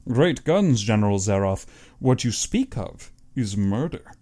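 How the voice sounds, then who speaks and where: A man's voice: fairly low pitch, big pitch swings, a little slow, warm tone. A man voicing an earnest, civilized younger hunter in an audiobook, reserved and plain-spoken. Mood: appalled. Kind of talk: audiobook character acting.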